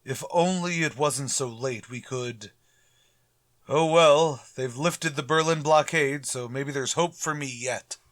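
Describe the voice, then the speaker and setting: An actor playing a pompous, grumbling middle-aged school principal in a radio comedy, formal and dryly sarcastic. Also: male voice, medium pitch, slow, a bit bright, no echo. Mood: disdainful, dreading. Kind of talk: old-time radio comedy acting.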